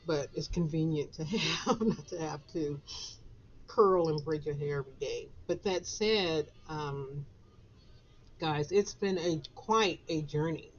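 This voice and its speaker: A woman in her sixties with a Southern US accent, raspy after a flu, chatting casually to camera from her home office. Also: low-pitched, muffled recording. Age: 60s. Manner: chatty, drawn-out, laughs between phrases. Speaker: author and vlogger.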